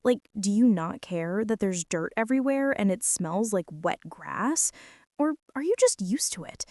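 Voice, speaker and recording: A woman's voice: mid-range voice, big pitch swings, unhurried, a little mellow, no room sound, clean studio sound. A woman playing a bratty teenage girl bully in an ASMR roleplay, whining and sarcastic as she complains straight to the listener. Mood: sarcastic, annoyed. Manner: whiny, sarcastic, exasperated complaining with sighs. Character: bratty teenage girl bully, grumbling, secretly softening toward tentmate.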